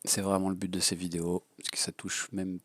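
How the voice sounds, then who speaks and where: A man's voice: sits low, level tone, brisk, a bit bright, no room sound. A man with a cold, rambling bluntly and crudely through a casual drone gear review, with lots of fillers. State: has a cold. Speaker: FPV drone hobbyist reviewer. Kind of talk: casual unscripted product review. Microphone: low-level home mic.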